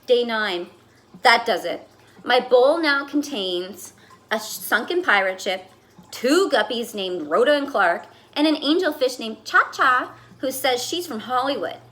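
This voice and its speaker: A woman reading a children's picture book aloud as a fussy, put-upon goldfish telling its diary, with dramatic, expressive delivery. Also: fairly high pitch, a little slow. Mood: exasperated, fed up. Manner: dramatic, exasperated, expressive storytelling.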